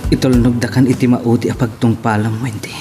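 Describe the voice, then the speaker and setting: A man's voice: mid-range voice, level tone, a little fast, over music, natural voice. A man with an Ilocano accent playing a heartbroken, devoted young lover in an audio melodrama, speaking with tender sorrow. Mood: tender grief. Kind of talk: Ilocano radio-style melodrama acting.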